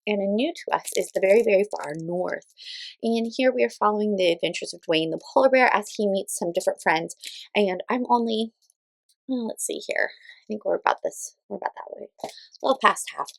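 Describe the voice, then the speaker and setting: A woman in her thirties talks casually through book recommendations in a mid-pitched voice with noticeable vocal fry. She explains informally, thinking aloud and feeling her way through each point. The sound is dry and close. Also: fairly quick, no room sound, rough recording. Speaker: parent reviewing children's read-aloud books.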